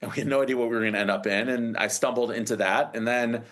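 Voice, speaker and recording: An American man in his forties tells his story as a guest in a casual interview. He rambles through many false starts, restarts and fillers. His voice sits at an ordinary pitch for a man. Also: fairly even intonation, fast talker, a bit bright. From United States.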